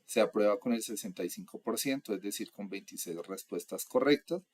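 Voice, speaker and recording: A young adult man from Colombia, explaining course material in a steady, methodical lecture. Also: on the low side, a little fast. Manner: steady, methodical, lists items one by one. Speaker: instructor.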